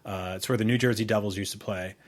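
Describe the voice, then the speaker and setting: A man's voice: on the low side, steady tone, quick pace. A man co-hosting a podcast in casual conversation, rambling informally through memories and opinions, with some swearing. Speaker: podcast co-host. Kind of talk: casual chat, reminiscing about music.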